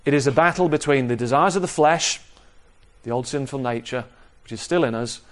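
A man preaching an expository sermon in a measured, explanatory manner, repeating key phrases for emphasis. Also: mid-range voice. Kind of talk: sermon, expository Bible teaching.

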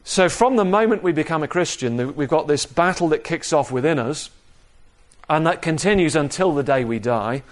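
A man preaching an expository sermon in a measured, explanatory manner, repeating key phrases for emphasis. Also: a little high, expressive. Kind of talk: sermon, expository Bible teaching.

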